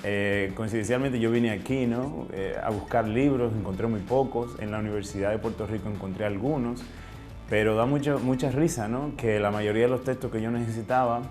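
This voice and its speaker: A man's voice: fairly low pitch, a little fast, a little mellow, faint music behind. A man with a Dominican Spanish accent talking reflectively in an interview, often searching for words and ending phrases with question tags. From Dominican Republic. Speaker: writer and interview guest.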